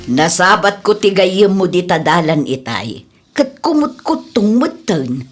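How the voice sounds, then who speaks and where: A woman plays a sympathetic middle-aged Ilocano mother in a family drama. Her lowish voice moves slowly and swings expressively as she gossips. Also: music in the background. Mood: concerned. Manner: unhurried, expressive. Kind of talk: amateur audio drama acting.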